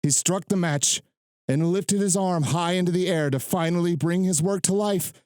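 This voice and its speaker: A man reading literary fiction as a narrator, in a measured, dramatic storytelling delivery close to the microphone. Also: sits high, a little mellow, smooth, no room sound.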